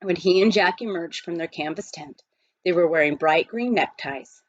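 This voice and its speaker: A woman narrating a children's novel aloud in an expressive, scripted storytelling style. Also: on the low side, normal speed, no room sound, muffled recording. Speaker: audiobook reader.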